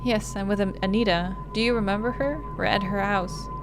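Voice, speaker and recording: A woman's voice: mid-range voice, fairly even intonation, a little fast, over music, lightly acted. A woman voicing a distressed, protective big sister, the emotional heroine of a visual novel. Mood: puzzled, defensive. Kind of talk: visual novel dialogue read aloud.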